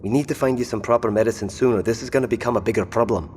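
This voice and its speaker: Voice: medium pitch, racing, darkish tone, natural voice. An actor playing a caring, firm companion in an audio drama, speaking with patient insistence. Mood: firm concern. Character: caring, firm companion nursing a wounded partner. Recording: long reverberant hall, faint noise.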